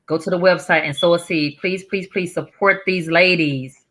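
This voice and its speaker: A woman's voice: lowish voice, fairly even intonation, normal speed, a bit bright, slight background noise. A woman hosting a casual, upbeat talk show, speaking in an effusive, encouraging way. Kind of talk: casual upbeat interview chat.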